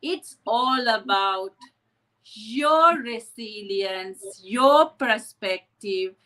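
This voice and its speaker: A woman's voice: on the high side, drawn-out words, sharp tone. A woman from Sri Lanka, a psychotherapist telling her story earnestly in an interview, often adding "right?". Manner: earnest, reflective, with frequent "right?" asides. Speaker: psychotherapist guest.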